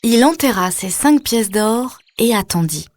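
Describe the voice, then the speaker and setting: A woman's voice: on the high side, lively intonation, a little slow, a little mellow, no echo. A woman reading a scripted children's story as a storyteller, putting questions to the young listener. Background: music.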